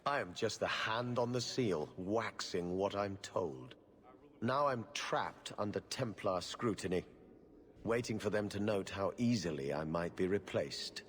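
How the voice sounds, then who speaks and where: A man's voice: on the low side, a little slow, natural voice. A man playing a harried, sardonic city official in a video game, formal, dry and exasperated. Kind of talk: video game cutscene acting. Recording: long reverb of a hall.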